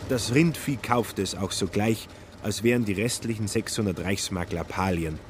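A man's voice: fairly low pitch, normal speed, very noisy. A man narrating a literary radio play with dry, ironic storytelling.

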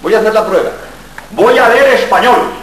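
An older man, a Jesuit priest from Spain, gives a lively public lecture full of jokes and rhetorical questions. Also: high-pitched, moderate pace, slight background noise. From Spain, born in Barcelona. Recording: very echoey hall, mic far off.

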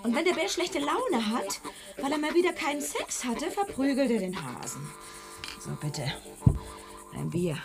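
A sardonic, fed-up wife in a radio drama. Her voice sits fairly high and moves in lively swoops, with biting, quietly defiant delivery. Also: unhurried, music in the background, exaggerated voice. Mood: curt, resentful. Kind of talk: German radio play acting.

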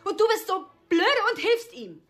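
A woman's voice: very high-pitched, sing-song, moderate pace, soft background music. A woman playing a jealous, neglected lover in a radio drama, sardonic and needling. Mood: mocking, blunt.

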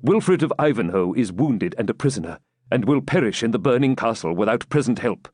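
Guy doing a stern proud norman knight from an old adventure novel, very animated, dry sound. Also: medium pitch, fairly quick, no echo, lightly acted. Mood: sullen submission. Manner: stern, theatrical, archaic speech. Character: defiant proud Norman knight commander, beaten into sullen submission.

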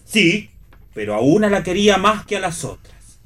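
An actor playing a wry, sententious amateur philosopher and palm reader in radio theatre. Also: male voice, high voice, big pitch swings, normal speed, fairly bright tone. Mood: mock-mystical. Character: wry male philosophizing would-be psychic, teasing and theatrical.

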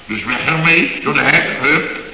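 An actor playing a flustered, excitable building contractor boss in a radio comedy serial, blustering indignantly and repeating himself. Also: male voice, on the high side, unhurried, heavily acted. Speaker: construction firm boss. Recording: hissy, dull, echoey old radio recording.

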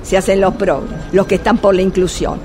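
An actress playing an exasperated, sarcastic blind street vendor in a radio drama, with a Rioplatense Argentine accent. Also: female voice, mid-range voice, fairly even intonation, normal speed, music in the background, natural voice. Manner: complaining, sarcastic, emphatic. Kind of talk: radio drama monologue as phone call. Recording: loud subway train noise throughout. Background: subway ambience.

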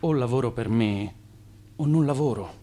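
An actor playing an ambitious, boastful immigrant schemer in radio theatre, with an emphatic, self-assured delivery. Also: male voice, mid-range voice, moderate pace, dark tone, natural voice. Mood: firm.

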